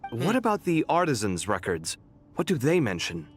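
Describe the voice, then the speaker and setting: An actor playing a conscientious young scholar in a video game, expressive and theatrical, quick to grumble. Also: male voice, mid-range voice, soft background music. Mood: curious, businesslike. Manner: animated, theatrical. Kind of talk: video game dialogue acting.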